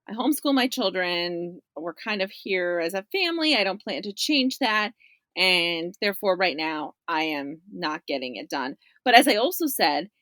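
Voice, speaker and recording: A woman's voice: mid-range voice, fairly bright tone, dry recording. A woman journalist talking casually as an interview guest on a podcast.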